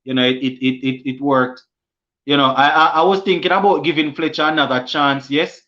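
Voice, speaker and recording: A Jamaican man hosting a casual cricket live stream, reading viewer comments aloud and answering them, often halting and restarting mid-sentence. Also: fairly high pitch, fairly even intonation, sharp tone, lo-fi sound. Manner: halting, many restarts and fillers.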